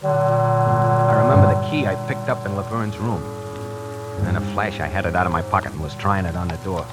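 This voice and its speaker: A man playing a nervous, talkative tie salesman who narrates his own story in a 1940s radio drama, moving between hushed and frantic. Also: lowish voice, a little fast, over loud music, lightly acted. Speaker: radio actor narrating in character.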